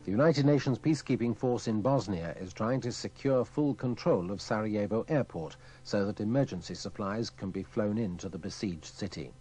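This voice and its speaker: A male newsreader with a dark, relaxed tone and some huskiness. He reads from a script in a fairly low voice. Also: normal speed, hardly any pauses, husky. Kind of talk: scripted news reading.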